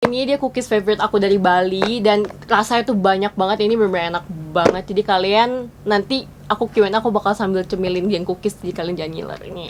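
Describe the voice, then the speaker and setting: A woman's voice: medium pitch, fast, noisy background. A 21-year-old woman from Indonesia chatting casually in a Q&A vlog, mixing English phrases into her talk. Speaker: vlogger. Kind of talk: casual Q&A chat while snacking. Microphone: home microphone. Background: music.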